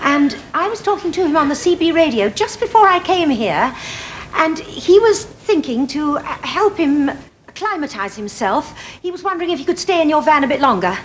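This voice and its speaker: A woman's voice: sits high, animated, moderate pace. A woman playing a long-suffering, wryly scheming English suburban wife in a British TV sitcom. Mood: dryly scheming. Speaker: sitcom actress. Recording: noisy old TV sitcom recording.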